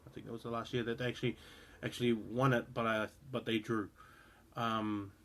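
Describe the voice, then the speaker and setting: A man's voice: mid-range voice, level tone, a little fast, a bit bright. A man in his thirties with a New Zealand accent, giving his opinion in casual talk to the camera, rambling with frequent fillers and restarts. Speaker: rugby show host.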